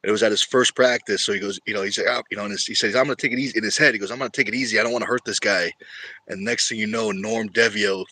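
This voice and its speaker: A man's voice: on the low side, steady tone, rapid-fire, bright, forceful tone, breathy edge. An easygoing man hosting a casual livestream and chatting with viewers about his sport. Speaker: livestream host. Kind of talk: casual livestream chat about arm wrestling.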